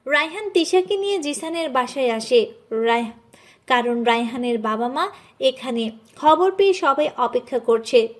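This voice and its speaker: High-pitched woman narrating a story. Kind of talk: scripted dramatic story narration.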